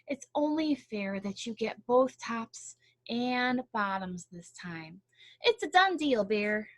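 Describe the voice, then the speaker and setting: A woman's voice: a little high, animated, slow pace. A woman voicing a clever, cheerful male hare with coaxing salesmanship in a picture-book read-aloud. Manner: bright calling, coaxing salesmanship.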